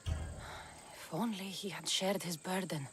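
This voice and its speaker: An actress playing a tough young mercenary heroine in a video game cutscene, speaking bluntly and plainly. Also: female voice, fairly low pitch, steady tone, takes it slowly, background noise. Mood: earnest. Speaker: game character.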